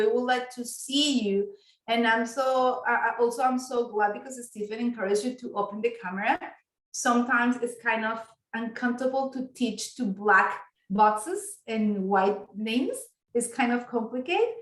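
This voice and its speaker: A woman who speaks English as a second language, leading an online business workshop as instructor in an encouraging, appreciative manner. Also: fairly high pitch. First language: not English. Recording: roomy echo on online video call.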